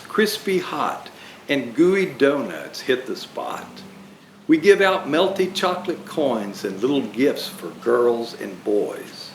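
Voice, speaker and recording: A man dressed as Santa reading rhyming children's books aloud in a slow, expressive way. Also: medium pitch, a little hiss or hum. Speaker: storyteller playing Santa. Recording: roomy echo.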